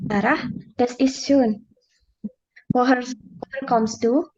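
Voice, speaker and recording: A woman's voice: a little high, steady tone, takes it slowly, darkish tone, a little hiss or hum. A woman student in a beginners' language class, carefully reading her lines of a practice dialogue. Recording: lo-fi video call audio.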